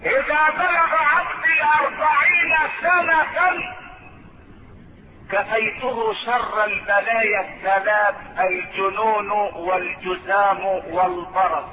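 High-pitched Egyptian preacher, tinny noisy recording. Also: male voice, steady tone. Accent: Egyptian Arabic. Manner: rhetorical, alternating colloquial asides with drawn-out declamation. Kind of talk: sermon to a congregation with storytelling and recited verse. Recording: noisy, narrow phone-like sound.

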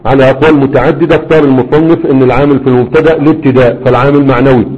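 A man's voice: mid-range voice, steady tone, rapid-fire, lo-fi sound. A man with an Egyptian accent teaching Arabic grammar in a lecture, often quizzing his students with rhetorical prompts. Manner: questioning, prompts students with "what?". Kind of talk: classroom lecture explaining verse.

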